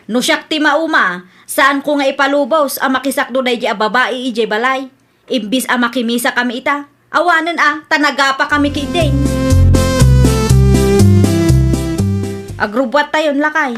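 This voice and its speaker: A woman plays a fed-up housewife in an Ilocano comedy radio drama. Her voice is high and sharp, with an Ilocano accent and an accusing, exclamatory delivery. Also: moderate pace, faint music behind. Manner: complaining, exclamatory. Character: fed-up, accusing wife robbed by a neighbour.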